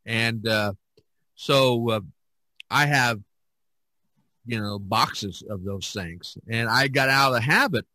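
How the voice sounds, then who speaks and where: A middle-aged man chatting casually as a podcast host, rambling and halting as he searches for words. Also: mid-range voice, sharp tone, no echo, low-quality recording. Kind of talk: casual chat.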